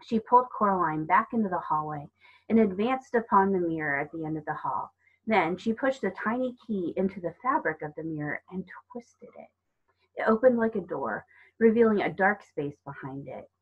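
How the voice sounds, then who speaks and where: Female storyteller for children's fiction: lower register, husky touch, narrated read-aloud, muffled recording. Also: expressive, moderate pace. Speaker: storybook reader. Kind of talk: reading a children's novel aloud.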